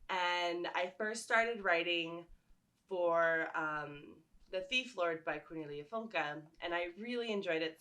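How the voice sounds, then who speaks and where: A woman's voice: on the low side, bright, forceful tone. A woman talking casually to her viewers as a vlogger, telling stories in an enthusiastic, rambling way. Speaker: vlogger and fan fiction writer. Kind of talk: casual chatty talk to viewers.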